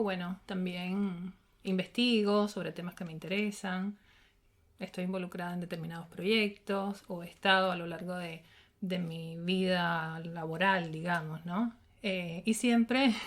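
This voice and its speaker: Cuban woman professor answering thoughtfully. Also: on the low side. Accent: Cuban Spanish. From Cuba. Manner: thoughtful, many fillers, laughs easily. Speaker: linguistics professor and researcher. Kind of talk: casual interview answers.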